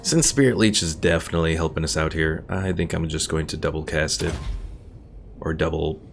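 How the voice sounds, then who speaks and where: Deep, gravelly male game streamer. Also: fairly quick. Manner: thinking aloud, explaining game mechanics. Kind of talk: casual live gameplay commentary. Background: game music.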